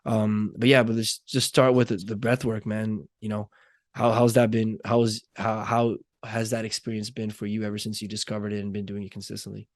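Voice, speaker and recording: A man's voice: lowish voice, level tone, fairly quick, no echo, studio quality. Rambling young man hosting a podcast. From Nepal. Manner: rambling, many fillers and restarts. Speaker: podcast host. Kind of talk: casual conversation.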